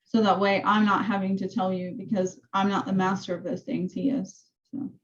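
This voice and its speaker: A woman with a soft voice, casually walking her real estate team through training tools on a call. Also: mid-range voice, flat delivery, normal speed, muffled recording. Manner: chatty, rambling explanations. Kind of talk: casual team meeting walkthrough.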